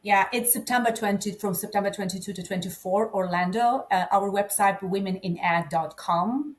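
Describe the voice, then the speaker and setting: Enthusiastic Ukrainian-accented woman. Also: mid-range voice. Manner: enthusiastic, filler-heavy speech with many "like"s. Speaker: guest, event organizer. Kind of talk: conversational interview.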